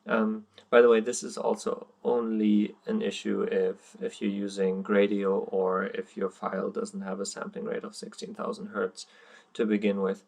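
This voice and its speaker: A young man whose first language is German explains code at his screen, pausing often with ums and uhs. His voice sits low and gravelly, with little rise and fall. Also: little pitch movement, darkish tone. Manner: hesitant, many ums and uhs, plain explaining. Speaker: coding tutorial presenter. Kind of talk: screen-recorded coding walkthrough.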